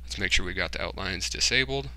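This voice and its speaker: A man giving a casual screen-recorded software tutorial, informally thinking aloud and muttering to himself as he works. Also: sits low, fast. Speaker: tutorial host, hobbyist game developer.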